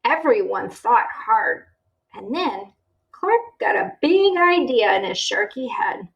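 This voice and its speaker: A woman reads a picture book to a child in the manner of a teacher. Her pitch is on the high side and moves around a lot, and she goes slowly, pausing to ask the listener questions. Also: creaky, highs cut off. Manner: expressive, checks in with the listener using questions. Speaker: teacher reading aloud to a child. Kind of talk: children's storybook read-aloud.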